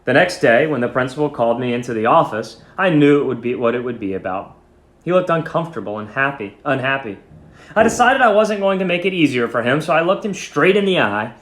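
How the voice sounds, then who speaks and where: Male story reader: highly varied intonation, expressive and deliberate, recorded in a roomy, echoing space. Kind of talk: dramatic reading of a short story. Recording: roomy echo.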